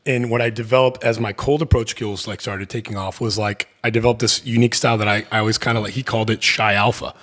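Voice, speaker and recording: A man in his thirties from the Miami area, a podcast guest with a deep voice, talking in a rambling way full of fillers and restarts. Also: steady tone, fast, hardly any pauses, a bit bright. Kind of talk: casual podcast conversation.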